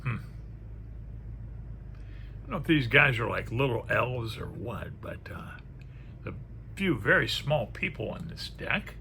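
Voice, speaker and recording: A man's voice: medium pitch, sing-song, a little slow, gravelly, pauses often, pushed and bright. A man giving casual, unscripted commentary as he presents a card deck, musing aloud and trailing off into murmured asides. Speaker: presenter reviewing a tarot deck. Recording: faint background noise.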